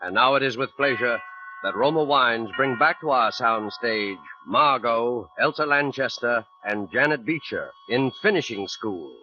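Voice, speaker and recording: A man narrating a radio thriller in a solemn, deliberate, ominous 1940s style. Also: medium pitch, expressive, a little hiss or hum. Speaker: program narrator and announcer. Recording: dull 1940s radio recording, music behind.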